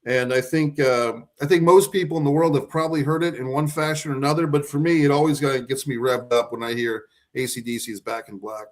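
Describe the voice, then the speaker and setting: A man's voice: mid-range voice, fairly even intonation, fairly quick, hardly any pauses. Man chatting about favorite songs. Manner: rambling, with frequent restarts. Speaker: podcast co-host.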